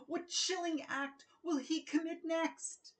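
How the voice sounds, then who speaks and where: A woman voicing frightened storybook townspeople who exclaim loudly in alarm. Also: very high voice, steady tone, slow pace, pitched above the performer's own voice.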